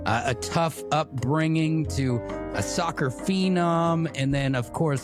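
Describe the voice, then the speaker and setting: Male podcast host, upbeat and conversational, soft music bed. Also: fairly high pitch. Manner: upbeat, reads sponsor plug. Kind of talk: podcast interview.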